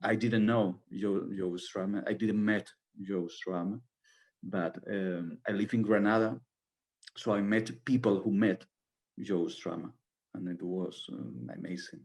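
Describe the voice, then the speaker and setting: A man's voice: sits low, fairly even intonation, unhurried, darkish tone. A man from Spain with a Spanish accent, a playwright answering questions in a second language, searching for words. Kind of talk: halting Q&A answer.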